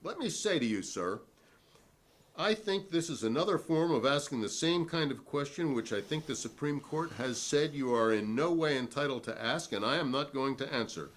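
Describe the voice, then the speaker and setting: A man's voice: medium pitch, animated, moderate pace, pitched above the performer's own voice, lightly acted. A man reading the part of a defiant, principled witness at a 1950s hearing, formal and argumentative. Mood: defiant, firm. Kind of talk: dramatic reading of a hearing transcript. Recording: noisy live event recording.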